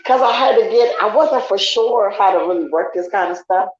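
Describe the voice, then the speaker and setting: Deep raspy woman, roomy sound. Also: moderate pace, slight background noise. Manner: laughs often, loud and teasing. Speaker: guest on online sports talk show. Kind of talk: casual chat. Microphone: online call. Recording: roomy, dull lo-fi online call audio.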